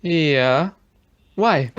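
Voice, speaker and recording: A man's voice: a little high, very slow, fairly bright tone, clear, resonant tone, highs cut off. An actor as an informal male driver. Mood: casual, puzzled. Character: casual, obliging American driver bearing urgent messages. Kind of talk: audio play acting.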